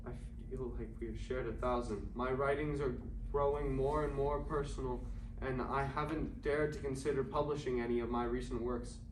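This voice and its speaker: A lovesick writer of the 1920s, acted by a man, speaks his love letters with earnest, poetic longing at a middle pitch. It is a staged play reading, caught from far off in an echoing room with clear background noise. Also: steady tone, normal speed, few pauses, lightly acted. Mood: yearning. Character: lovesick male writer in 1920s Paris, yearning and fearful. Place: indoor performing arts academy, mic far off. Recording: distant, echoey, noisy live stage recording.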